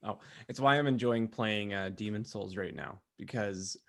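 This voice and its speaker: A man's voice: fairly low pitch, moderate pace, vocal fry. A man co-hosting a casual podcast, telling stories to friends in a rambling, self-deprecating way. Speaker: podcast co-host. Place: remote video call.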